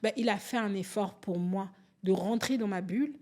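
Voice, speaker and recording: A woman in her forties, from Angola, speaking candidly as a podcast guest, telling stories and acting out quotes with lively restarts. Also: medium pitch, moderate pace. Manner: animated, storytelling, restarts and acts out quotes. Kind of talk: candid conversational interview.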